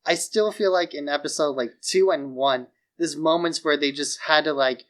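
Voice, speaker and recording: A man's voice: a little high, normal speed, fairly bright tone. Casual, informal man co-hosting a podcast. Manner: informal, frequent "like" while arguing points. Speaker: podcast co-host. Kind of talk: casual opinionated chat.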